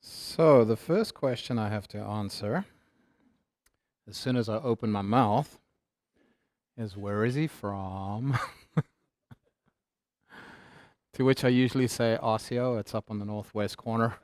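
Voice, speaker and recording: A man's voice: on the low side, takes it slowly, pauses often, a little mellow, dry recording, clean studio sound. A man from South Africa with a mixed South African accent, giving a humorous, conversational business talk. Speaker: keynote speaker.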